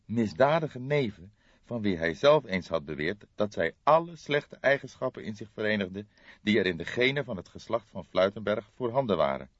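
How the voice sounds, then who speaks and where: A man's voice: low-pitched, slightly rough, no room sound, muffled recording. A man reading a crime audiobook as narrator, in a dramatic scripted style, close to the microphone.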